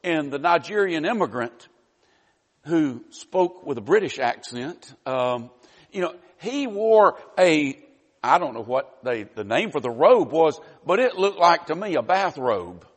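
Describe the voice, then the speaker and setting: A man's voice: fairly high pitch, big pitch swings, unhurried, in a large hall. A man with a Southern US accent preaching a sermon in a folksy, earnest way, dropping in frequent "you know" asides. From Southern United States.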